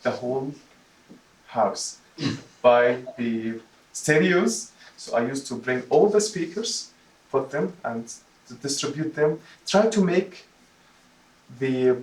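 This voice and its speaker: A man from Jordan delivers a personal speech in a roomy, echoing space. He is picked up from a distance, his voice mid-pitched, and he moves haltingly through his phrases. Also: expressive. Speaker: club member giving a first speech. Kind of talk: prepared personal speech to a club audience. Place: meeting room, recorded from a distance. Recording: roomy echo, distant microphone.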